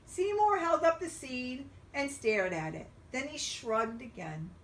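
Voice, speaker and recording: A woman reading a picture book aloud to young children, expressively and with drawn-out emphasis. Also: high voice, animated, a bit bright, distant mic, slight background noise. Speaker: children's storybook reader.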